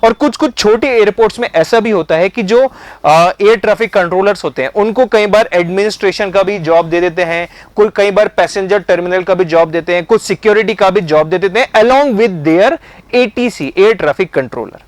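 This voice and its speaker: An Indian man with a high voice teaches in a methodical, step-by-step way. He drops English terms into his explanations and often checks that the point has landed. The sound is dry and close, with very little room in it. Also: moderate pace, dry recording. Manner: mixes English terms into speech. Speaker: instructor explaining a career. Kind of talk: explanatory online lesson.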